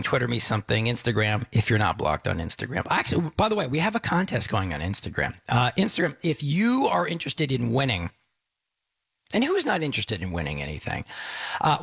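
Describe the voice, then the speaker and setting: A man from Texas hosting a tech talk show, talking fast and animated in a rambling monologue with a gravelly, husky voice. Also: medium pitch.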